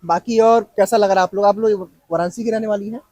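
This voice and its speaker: A man interviewing students on a campus, pushing them along with quick questions. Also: sits high, fairly even intonation, darkish tone, dry recording. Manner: prompting, rapid-fire questions.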